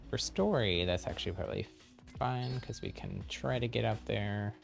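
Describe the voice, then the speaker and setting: Low, gravelly man streaming a game. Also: normal speed, music in the background. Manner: explanatory, hedging, thinking aloud. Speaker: game livestreamer. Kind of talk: casual gameplay commentary.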